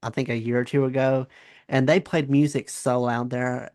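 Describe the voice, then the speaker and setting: A man's voice: mid-range voice, level tone, normal speed, darkish tone, no echo, clean studio sound. A young man in his twenties hosting a podcast, chatty and self-deprecating. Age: 20s. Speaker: podcast host. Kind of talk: casual interview chat.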